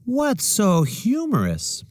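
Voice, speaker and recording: A man's voice: high voice, wildly swooping pitch, slow pace, no room sound, studio quality, voice pushed up, full character voice. A man reading a storybook as a gracious woman music teacher, speaking in an emphatic, reassuring way. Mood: curious. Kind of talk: children's storybook read-aloud.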